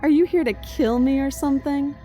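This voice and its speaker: An actress playing a cold, defiant captive princess in a video game, taunting and deliberate with quiet menace. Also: female voice, high voice, fairly even intonation, soft background music, natural voice. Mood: cool, taunting.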